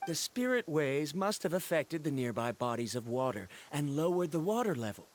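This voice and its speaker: High-voiced, formal scholar. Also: male voice, sing-song, moderate pace. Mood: analytical. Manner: formal, precise, argumentative. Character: frank, stubborn, learned male scholar traveler. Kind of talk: video game character acting.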